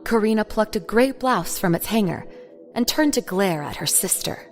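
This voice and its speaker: A woman's voice: medium pitch, lively intonation, moderate pace, faint music behind. A woman reading scripted narration for an audio drama.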